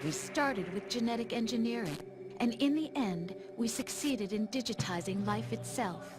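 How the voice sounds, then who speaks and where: A woman's voice: on the high side, normal speed, lightly acted. Condescending female machine intelligence played by a woman. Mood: calm, condescending. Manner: condescending, calm, lecturing. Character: female artificial intelligence, condescending, coolly patronizing. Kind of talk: video game cutscene acting. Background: music.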